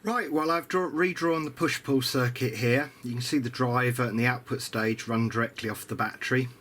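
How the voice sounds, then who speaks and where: A man's voice: medium pitch, fairly even intonation, normal speed, fairly bright tone, a little hiss or hum. A man giving a casual workbench commentary as a hobbyist repairer, musing and hesitant as he thinks aloud. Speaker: hobbyist radio repairer presenting a restoration.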